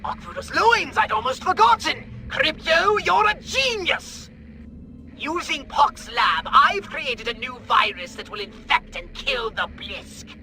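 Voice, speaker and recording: An actor playing an excitable, scheming alien mad scientist in a comic video game, theatrical and fussy. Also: male voice, very high-pitched, takes it slowly, gravelly, over music, full character voice, poor audio.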